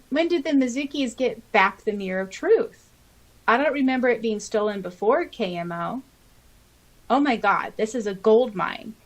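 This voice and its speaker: A woman with a medium-pitched voice co-hosts a casual fan podcast. She reads comments aloud expressively, with an exclamatory, conversational delivery. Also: rough recording. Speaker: podcast co-host. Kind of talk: casual fan chat, reading out listener comments.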